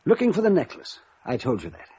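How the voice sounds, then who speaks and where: A man playing a perturbed, conscience-stricken older gentleman in radio drama, speaking in a formal, courtly and hesitant way. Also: a little high, wildly swooping pitch, quick pace, breathy edge, exaggerated voice. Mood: resigned, regretful. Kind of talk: 1950s radio drama acting. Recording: dull, lo-fi vintage radio recording.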